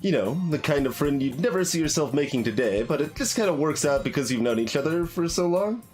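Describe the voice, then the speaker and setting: Hesitant, animated male game streamer. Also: a little high, fairly quick, faint music behind. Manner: hesitant, wry. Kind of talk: casual let's play, reading game text aloud.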